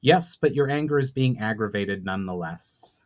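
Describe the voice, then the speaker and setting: A man's voice: lowish voice, animated, moderate pace, close to an everyday voice. A man voicing a courteous, earnest elf envoy with formal wording in a fantasy story. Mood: grave.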